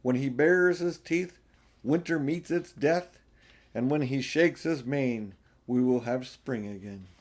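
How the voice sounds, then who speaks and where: A man's voice: mid-range voice, takes it slowly, pitched above the performer's own voice. A man voicing a male talking beaver in a storybook, an earnest, solemn woodland creature with a folksy, emphatic manner. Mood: reverent, assured. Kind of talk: storybook character voice.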